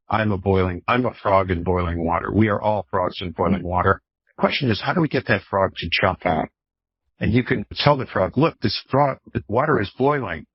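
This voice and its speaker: A man in his fifties speaks as an expert guest, in a lowish, somewhat rough voice. He explains conversationally, working through examples and rhetorical questions. The sound is dry and a little dull, like lo-fi call audio. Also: no echo. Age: 50s. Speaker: professor, author and guest expert. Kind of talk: explanatory interview talk with illustrative anecdotes.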